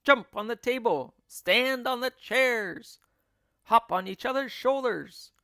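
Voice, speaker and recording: A man's voice: extremely high pitch, takes it slowly, sharp tone, no room sound, studio quality, pitched above the performer's own voice, full character voice. A man voicing a frantic, bossy monkey father in a children's storybook, urgent and commanding. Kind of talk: children's storybook character reading.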